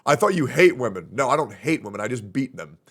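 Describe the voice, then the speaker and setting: A man's voice: mid-range voice, expressive, fast talker, fairly bright tone, slightly rough. A man hosting a gaming stream, chatting on a voice call with crude, teasing banter. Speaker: streamer host.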